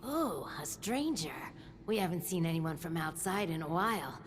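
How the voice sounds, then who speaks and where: A woman's voice: on the low side, sing-song, moderate pace, slight background noise. An actress playing a tired woman vault resident who chats a bit sardonically about the vault's problems. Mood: weary.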